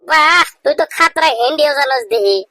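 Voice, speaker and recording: A man voicing a skeptical, needling young village friend in a comedy cartoon, with a rural Bangladeshi accent and pitched-up voice. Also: lively intonation, fairly quick, background noise.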